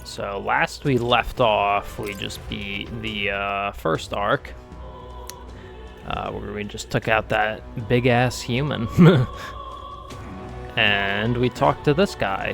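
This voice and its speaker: A man's voice: fairly low pitch, takes it slowly, vocal fry, a bit bright, soft background music. A man streaming a video game, chatting casually and rambling with lots of fillers, stuffed up and nasal from a cold. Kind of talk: casual gaming stream chat.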